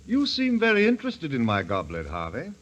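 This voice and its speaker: An actor playing a haunted, superstitious gentleman in a 1940s radio mystery, grave, hesitant and dramatic. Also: medium pitch, wildly swooping pitch, brisk, dull audio. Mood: uneasy warning. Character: haunted, superstitious gentleman owning a cursed glass, gravely earnest.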